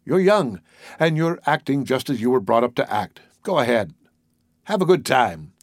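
A man playing an earnest, patient, idealistic young man with a measured, reasoning manner in a story. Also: mid-range voice, sing-song, no echo. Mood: reassuring.

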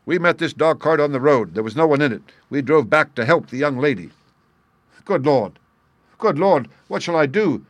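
A man voicing a desperate bearded young man who shouts in raised, urgent bursts. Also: medium pitch, a little fast, no echo, pitched above the performer's own voice, natural voice.

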